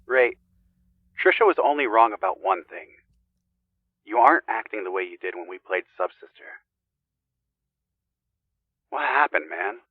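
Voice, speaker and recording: A man playing a frank, concerned friend in an audio drama, with a husky voice. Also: fairly low pitch, expressive, a little slow, long pauses, dry recording. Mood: concerned, reproachful. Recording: dull lo-fi radio-like sound, dry.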